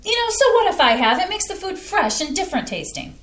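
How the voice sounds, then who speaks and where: A woman reading as a lively chatty mom. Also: extremely high pitch, fast talker, full character voice. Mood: earnest. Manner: lively, earnest. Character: warm chatty middle-aged mother. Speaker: actor in a staged reading. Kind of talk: staged play reading. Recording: echoey room.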